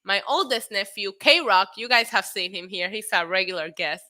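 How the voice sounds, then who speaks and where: A woman in her late thirties hosting a casual wrestling live stream, excitable and a little tipsy, laughing, swearing and dropping in Spanish phrases as she answers chat. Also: mid-range voice, moderate pace. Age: 30s. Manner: frequent laughter and profanity. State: tipsy from drinks. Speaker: wrestling show host.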